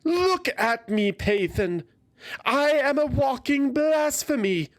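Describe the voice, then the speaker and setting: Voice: high-pitched, expressive, slow, pitched above the performer's own voice. A narrator voicing an anguished young feathered warrior woman in a fantasy audio story, impassioned and pleading, full of despair and self-loathing.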